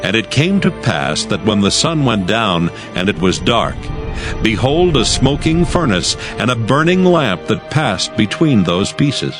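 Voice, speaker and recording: A man narrating scripture from a script in a solemn, measured manner. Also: on the low side, big pitch swings, music in the background.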